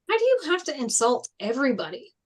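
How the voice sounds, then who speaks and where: A woman's voice: high voice, wildly swooping pitch, fast talker. A woman reading a proud, protective and blunt teenage boy athlete at a table read of a film script. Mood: confrontational. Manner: confrontational, blunt.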